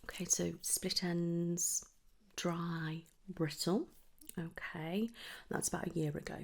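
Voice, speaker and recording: Husky, creaky whispering ASMR woman. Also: lowish voice, flat delivery, slow pace. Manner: soft, gentle, reassuring clinician speech, often dropping into whisper. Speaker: ASMR performer playing a trichologist at a hair clinic. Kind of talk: whispered ASMR medical roleplay.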